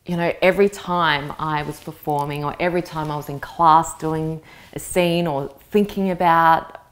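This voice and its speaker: A woman with a notably low voice, in her thirties, talks through her answers in an interview. Her first language is Vietnamese, and she speaks in a reflective way, pausing as she looks for the right words. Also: steady tone. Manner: thoughtful, searching for words. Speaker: interviewee, actress. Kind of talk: reflective personal interview talk.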